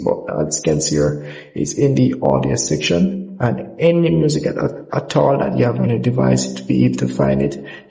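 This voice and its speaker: A man's voice: medium pitch, vocal fry, mellow, poor audio. A man presenting a casual, unscripted app walkthrough in a rambling, repetitive way.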